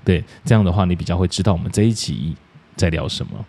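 A man's voice: deep voice, fast, creaky, darkish tone, breathy edge. A man hosting a podcast, talking casually in the role of a teacher to his students. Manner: plays a teacher addressing listeners as students. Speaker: podcast host. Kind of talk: casual interview chat with scripted sponsor reads.

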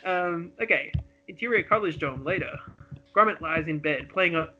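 A man's voice: fairly high pitch, moderate pace, bright, forceful tone. A man reading a comedy script casually as co-host, giggly and breaking off to banter, on a lo-fi recording. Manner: giggly, breaks off to joke and improvise.

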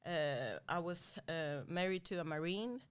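A woman who grew up in Sweden, chatting as an interview guest, laughing often and speaking in halting phrases. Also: on the low side, animated, smooth. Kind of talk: casual interview chat.

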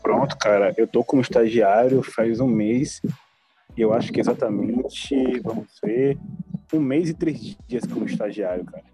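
A man's voice: medium pitch, normal speed, warm tone, faint music behind. A man, an intern chatting casually in an interview. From Salvador, Bahia, Brazil. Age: 20s. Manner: chatty, addresses listeners as "cara".

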